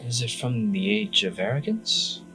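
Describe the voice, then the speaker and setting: A man voicing a polite, inquisitive investigator in a tabletop game, speaking in a careful, halting, formal way. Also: fairly low pitch, over music, natural voice, poor audio.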